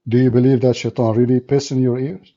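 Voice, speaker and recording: Blunt mocking host, dark muffled voice. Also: male voice, medium pitch, flat delivery, fast. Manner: blunt, mocking, non-native English. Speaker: host of call-in debate show. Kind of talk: combative call-in debate.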